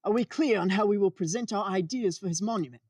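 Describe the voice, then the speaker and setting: A woman playing a fussy, nervous gentleman architect with a formal, flustered manner in a radio comedy sketch. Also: medium pitch, quick pace, husky, no echo, studio quality, lightly acted. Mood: bossy, composed.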